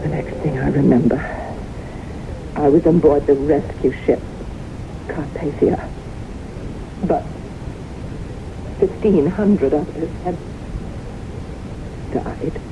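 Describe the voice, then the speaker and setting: A woman's voice: deep voice, slow pace, gravelly, pauses often, full character voice. An actress playing a fearful, fervent young woman haunted by death, in an intense theatrical style of 1970s radio drama. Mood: haunted, brooding. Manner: intense, theatrical, emotive delivery. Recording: noisy, muffled old radio broadcast recording.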